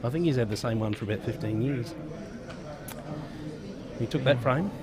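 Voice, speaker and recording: A man's voice: mid-range voice, moderate pace, crowd noise. A man with an Australian accent co-commentating pool, casual and dry, with joking asides. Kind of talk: relaxed live commentary.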